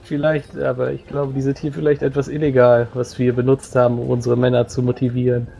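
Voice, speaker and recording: A man's voice: mid-range voice, monotone, a little fast, gravelly, dark tone, close to an everyday voice. A man playing a battle-worn, haunted mercenary veteran in a tabletop roleplay, hesitant and wryly modest. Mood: cautious.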